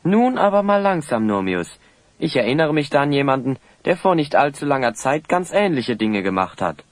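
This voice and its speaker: A man's voice: on the high side, big pitch swings, moderate pace, no room sound. A man playing a confident, polite young adventurer hero who talks in a reassuring way in a children's audio drama. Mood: chiding.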